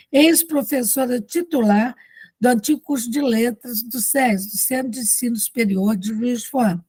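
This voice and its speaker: A Brazilian woman reads out a guest's long list of credits at a literature course, pausing for small slips and warm asides. Her voice sits a little high but sounds mellow and a touch husky. The sound is close and dry. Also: dry recording. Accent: Brazilian Portuguese. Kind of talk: reading a formal introduction with asides.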